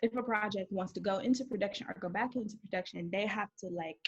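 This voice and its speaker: A chatty young woman from Detroit speaks candidly as an interview guest, her voice sitting at an ordinary middle pitch. The audio comes through dull and lo-fi over a video call. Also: quick pace, breathy edge. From Detroit, USA. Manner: chatty, candid, laughs easily.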